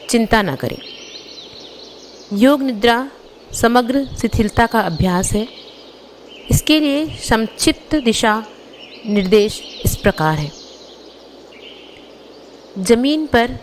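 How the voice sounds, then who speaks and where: A woman guiding a yoga nidra meditation as an instructor, giving measured instructions in short phrases with pauses. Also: a little high, slight background noise.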